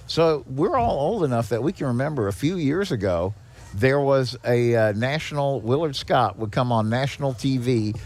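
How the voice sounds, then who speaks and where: A man's voice: medium pitch, expressive, moderate pace, darkish tone. An older man with a mid-range voice talks as a guest on a podcast. He explains in a folksy, conversational way, leaning on anecdotes and repeating his key points with emphasis. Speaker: podcast guest, head of a seniors' nonprofit. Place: on location at a garage.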